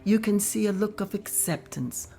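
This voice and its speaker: A woman narrating the stage directions at a table read in a plain, steady way. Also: medium pitch, normal speed, soft background music.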